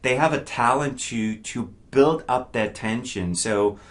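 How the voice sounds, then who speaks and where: A man's voice: medium pitch, unhurried. Enthusiastic non-native English-speaking man reviewer. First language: not English. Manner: enthusiastic, stumbling over repeated words. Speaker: album reviewer. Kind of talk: casual spoken review.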